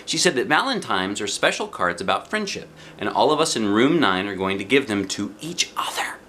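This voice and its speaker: American man, middle-aged, lively swooping storybook narration, bright voice, noisy home recording. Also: lowish voice, normal speed. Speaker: children's book reader. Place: living room, indoors. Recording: noticeable background noise.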